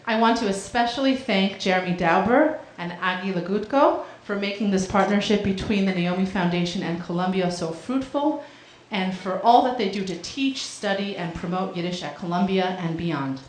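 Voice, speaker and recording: A woman's voice: mid-range voice, expressive, moderate pace, few pauses. Woman giving a speech in an echoey hall. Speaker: lecture host giving opening remarks. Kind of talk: prepared welcome speech. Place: university lecture hall.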